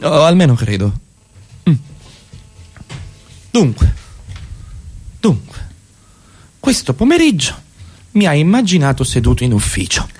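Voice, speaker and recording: A man acting in a radio play as a suave, evasive middle-class husband who needles with halting, repeated, pointed questions. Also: on the high side, wildly swooping pitch, a little mellow, slightly rough, exaggerated voice. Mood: needling.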